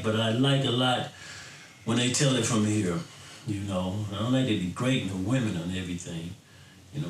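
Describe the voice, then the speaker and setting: A middle-aged American man talking casually and earnestly to his fans, in an informal, rambling way. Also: medium pitch. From Florida, then Michigan, United States. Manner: informal, earnest, rambling, often tagging "you know". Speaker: electronic bass music artist. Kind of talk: casual reflective talk to fans. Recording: roomy, distant mic, faint background noise.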